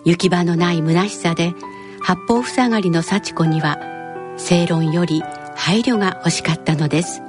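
Lower-voiced woman narrator. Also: normal speed. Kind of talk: scripted radio drama narration. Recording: clear music behind the voice.

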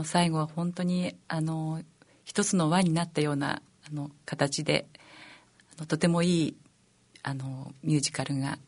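Female amateur guest, low voice, polite and halting in interview. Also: darkish tone. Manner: hesitant, filler-heavy. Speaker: amateur performer, programme guest.

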